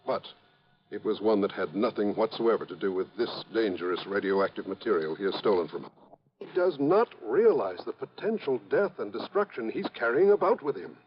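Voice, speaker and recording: An actor playing an anxious, formal and hesitant doctor of science in a 1950s radio drama. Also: male voice, fairly low pitch, sing-song, brisk, dull audio. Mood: grave, defensive. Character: anxious, precise, guilt-ridden male government scientist doctor.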